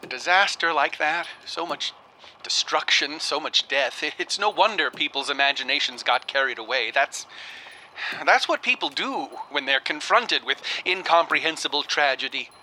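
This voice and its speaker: An actor playing an earnest, argumentative 1920s scholar, musing haltingly and insistently as he dictates onto an old cylinder. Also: on the high side, expressive, pushed and bright, slight background noise, poor audio. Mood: musing, agitated. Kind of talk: 1920s radio drama dictation.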